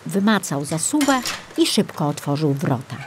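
A woman reading a children's audiobook as narrator, in an expressive storytelling manner. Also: deep voice, normal speed, noisy background.

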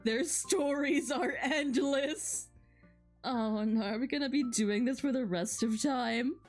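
A woman doing playful, excitable live game commentary and reading dialogue aloud with mock drama. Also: sits high, slow pace, soft background music. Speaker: game streamer.

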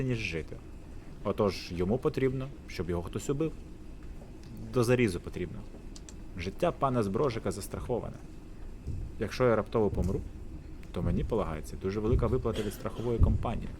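Desperate bankrupt gentleman, voiced by a man. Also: mid-range voice, expressive, fast talker, slight background noise, close to an everyday voice. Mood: urgent. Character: desperate, irritated male bankrupt gentleman plotting his own death.

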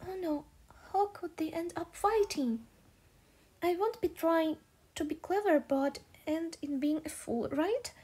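A woman's voice: extremely high pitch, a little slow, mellow. A woman voicing a young housekeeper girl, perky, indignant and scheming, in a manga dub. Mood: dismayed.

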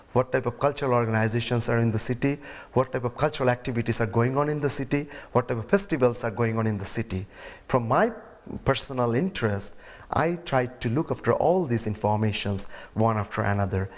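Man in his 40s, interview answer indoors, earnest and methodical, repeats phrasing. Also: medium pitch. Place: indoor room.

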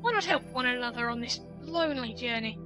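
A man reading game dialogue in a high, sing-song falsetto as an earnest, cheerful female knight who worships the sun, speaking grandly and confidently. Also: animated, brisk, sharp tone, faint music behind, full character voice. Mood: cheery, grand. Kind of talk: reading a game's dialogue aloud. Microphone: headset.

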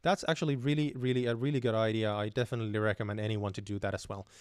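A man's voice: lowish voice, very fast, clear, resonant tone, dry recording, studio quality. Young man hosting a live Q&A. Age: young adult. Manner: relaxed, many fillers and restarts, reads chat questions aloud. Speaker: channel host answering viewer questions. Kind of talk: live stream Q&A, casual. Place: dim home room with shelves. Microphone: studio mic on boom arm close to mouth.

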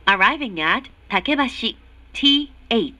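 Very slow, bright female train announcer. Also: a little high, animated, no echo, dull audio. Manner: formal, measured, clearly articulated. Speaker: English-language train announcer. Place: recorded announcement played inside subway car.

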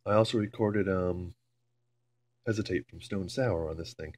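Low-voiced man rambling over a noisy hum. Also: moderate pace. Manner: foul-mouthed, rambling. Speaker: amateur video maker. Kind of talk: casual vlog chat. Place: carpeted room at home. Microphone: handheld phone camera. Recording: home phone recording with loud hum.

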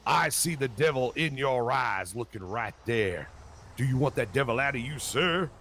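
A man's voice: mid-range voice, lively intonation, normal speed, fairly bright tone. A man playing a flamboyant revivalist street preacher in an online role-play, theatrical and repetitive in his exhortations. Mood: fervent, commanding.

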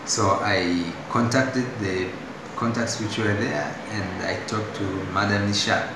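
A young man from Tanzania with a Tanzanian accent, telling his story as a patient in a hospital room, halting and full of restarts. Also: lowish voice, creaky. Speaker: patient giving testimonial. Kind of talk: unscripted spoken testimonial. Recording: very echoey room with audible noise.

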